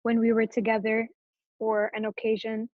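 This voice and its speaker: Young woman guest, from Morocco, halting and filler-heavy chat, slightly high voice, muffled close recording. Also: completely flat delivery, no echo. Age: young adult. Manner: hesitant, many fillers and restarts. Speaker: interview guest. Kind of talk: casual interview chat.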